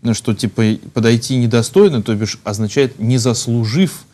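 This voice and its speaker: A man in his thirties hosting a studio talk show, asking measured questions with some hesitation. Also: mid-range voice, mellow. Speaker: show host, priest. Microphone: lapel.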